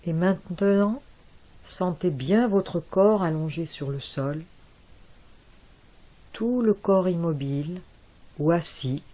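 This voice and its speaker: A woman guiding a yoga nidra relaxation in a soft, calm voice with long pauses. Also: lowish voice. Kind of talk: guided relaxation.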